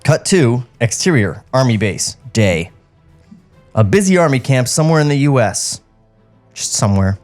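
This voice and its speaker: A man with a medium-pitched voice reads a screenplay's stage directions as a podcast co-host. He slips in sarcastic asides, with faint music behind him. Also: big pitch swings, normal speed. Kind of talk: script narration with sarcastic asides.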